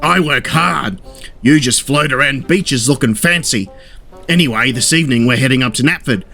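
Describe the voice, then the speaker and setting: A man's voice: mid-range voice, very fast, bright, forceful tone, close to an everyday voice. A man voicing a sour old fishing trawler in a children's story, grouching and sneering as he complains, with music behind. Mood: scoffing, boastful. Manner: grouching, snapping, scornful complaining.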